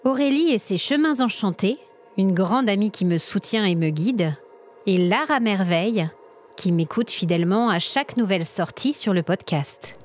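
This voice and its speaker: A woman's voice: medium pitch, animated, normal speed. A woman hosting a podcast, presenting and interviewing in a conversational style.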